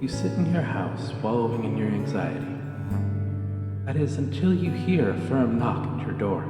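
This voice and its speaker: A man giving a scripted, dramatic story narration in the second person. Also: medium pitch. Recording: echoey long reverb under loud music. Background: loud plucked guitar music.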